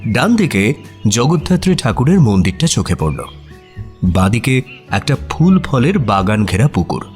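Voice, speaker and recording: An actor playing a detective's companion, narrating a mystery audio drama in expressive scripted storytelling. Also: male voice, medium pitch, moderate pace, soft background music, lightly acted. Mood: atmospheric, descriptive. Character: male detective's companion recounting the case as storyteller.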